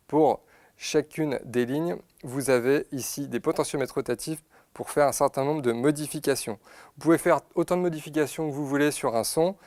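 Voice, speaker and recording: A man giving an unscripted, methodical product demonstration, pausing to find his words as he explains each step. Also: medium pitch, no echo. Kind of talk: unscripted instructional product demo. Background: synthesizer demo sounds.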